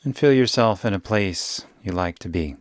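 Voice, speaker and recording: A man guiding a yoga nidra meditation in a soothing, unhurried way, giving gentle instructions with long pauses. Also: on the low side, lively intonation, no room sound. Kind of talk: guided meditation.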